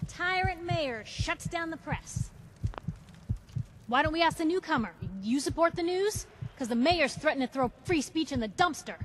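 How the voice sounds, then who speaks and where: An actress playing a brash, sarcastic, pushy young newspaper reporter in a video game, theatrical and punchy. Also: female voice, sits high, animated, moderate pace, noisy background. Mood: provocative.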